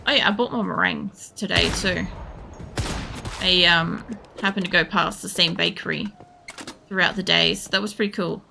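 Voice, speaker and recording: A woman with a creaky voice, giving casual, rambling, sweary gameplay commentary while thinking aloud as she streams. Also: fairly low pitch, steady tone, moderate pace, bright, forceful tone, slight background noise. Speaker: game streamer.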